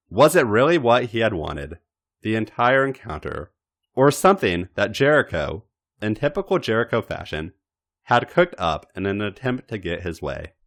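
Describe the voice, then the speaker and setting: A man hosting a podcast and narrating erotic fiction in a theatrical, drawn-out way. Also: on the low side, fairly bright tone. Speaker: podcast host and story reader.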